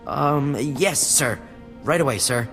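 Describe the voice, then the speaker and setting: A man's voice: medium pitch, slow, over music. A man playing a meek, eager young private in a video game, hesitant, stammering and apologetic. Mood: flustered. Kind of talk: video game cutscene acting.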